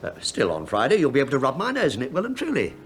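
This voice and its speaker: Sly, animated British police inspector. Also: male voice, mid-range voice, racing. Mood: sly mock politeness. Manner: wry, mock-deferential, feigned absent-mindedness. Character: sly, needling, penny-pinching male police inspector. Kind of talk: British radio play acting.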